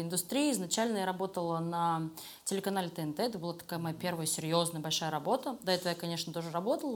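A middle-aged woman answering interview questions conversationally as a guest, candid and self-deprecating. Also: lowish voice. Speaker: interview guest, animation studio creative director.

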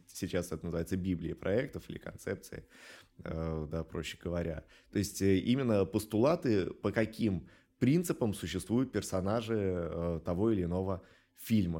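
A middle-aged man giving thoughtful interview answers in a reflective, measured way with hesitant pauses. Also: sits low, a little mellow. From Russia. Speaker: animation studio director, interview guest.

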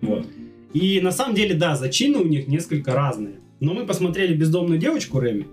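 Podcast host, male, animated and fairly high voice, chatty storyteller. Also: brisk, far from the mic, faint music behind. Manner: retells the plot loosely with fillers. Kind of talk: casual podcast chat.

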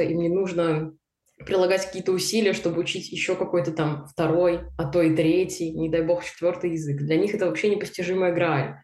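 Fast-talking woman in an echoey room. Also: on the low side, darkish tone. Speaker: German teacher. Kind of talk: casual podcast chat.

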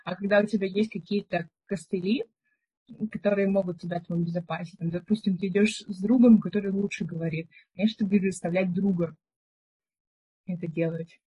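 Husky-voiced young woman, chatty storyteller. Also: medium pitch, steady tone, brisk, mellow. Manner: chatty storyteller, many fillers. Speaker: podcast co-host. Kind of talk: casual chat. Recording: dull, muffled recording.

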